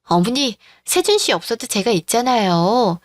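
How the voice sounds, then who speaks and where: A woman's voice: fairly high pitch, expressive, moderate pace, no room sound, clean studio sound, natural voice. A woman playing a devoted, patient middle-aged daughter-in-law and mother in a radio-drama style story. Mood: pleading, reassuring.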